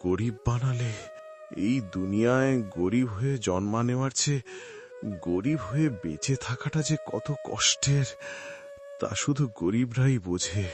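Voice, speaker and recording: A man's voice: mid-range voice, expressive, measured pace, lightly acted. A man playing a despairing but proud poor husband in a cartoon story, with a Bangladeshi accent and a plaintive, pleading delivery. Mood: anguished. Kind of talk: dramatic cartoon story acting. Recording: loud music bed under voice. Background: music.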